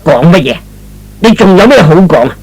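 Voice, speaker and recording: A man playing a bitter, irate old father in a radio drama, scolding emphatically in a husky voice. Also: high voice, sing-song, a little fast, darkish tone, exaggerated voice, low-quality recording. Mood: furious, bitter. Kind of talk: Cantonese radio drama acting.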